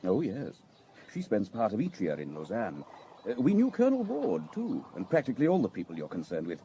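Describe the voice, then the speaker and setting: Low, mellow, cordial chief inspector. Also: male voice, huge pitch swings, a little fast, dull audio, slight background noise. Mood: informative. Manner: courteous, hesitant, knowing. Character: cordial, worldly male chief inspector sharing gossip discreetly. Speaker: police inspector. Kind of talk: radio drama acting.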